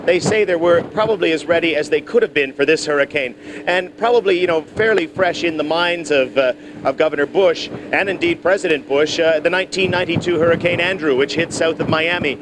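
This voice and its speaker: A man's voice: on the high side, a little fast. A man giving a live, unscripted news report from outdoors, explaining things as he goes and correcting himself. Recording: outdoor location report with clear noise.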